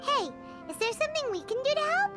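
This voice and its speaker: A woman voicing a small, childlike floating fairy companion in a video game, chirpy and squeaky. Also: sing-song, fast, over loud music. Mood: eager, helpful. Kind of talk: video game character acting.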